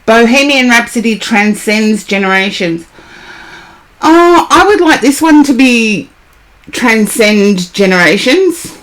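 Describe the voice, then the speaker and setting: Slow-talking, hoarse woman streamer. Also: fairly high pitch, animated, fairly bright tone, low-quality recording. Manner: chatty, greets and answers chat by name. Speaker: live-stream tarot reader. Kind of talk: casual live-stream chat.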